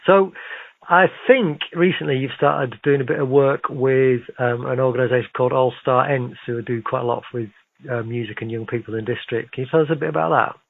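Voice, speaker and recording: A man's voice: medium pitch, fairly even intonation, brisk, creaky. Phone-like male radio interviewer. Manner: encouraging, affirming. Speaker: radio interviewer, show host. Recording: narrow phone-like radio sound.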